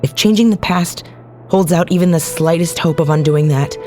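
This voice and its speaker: An actress playing a determined, battle-worn resistance fighter and wife in an audio drama, plain-spoken and earnest. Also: female voice, on the low side, normal speed, a little mellow, soft background music, lightly acted. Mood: resolute, pained.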